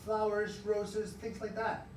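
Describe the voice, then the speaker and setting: A man acts out an eager young storybook boy, polite and proud, speaking up high with excited emphasis. The voice comes from a distance in a noisy room. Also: slow pace. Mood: cheerful, polite. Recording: roomy, noisy classroom, recorded from afar.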